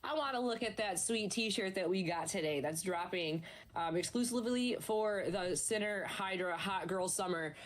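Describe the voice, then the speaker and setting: A woman's voice: mid-range voice, normal speed, few pauses. A woman casting an esports stream, chatting in a bubbly, upbeat way with her co-caster. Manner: bubbly, chatty, promotes merch. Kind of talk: casual esports stream commentary.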